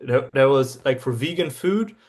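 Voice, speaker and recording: A man's voice: medium pitch, expressive, normal speed, darkish tone. Young man from Berlin, chatty guest. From Germany, Berlin. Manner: enthusiastic, many restarts and fillers. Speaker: interview guest, traveler. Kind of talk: casual interview answers.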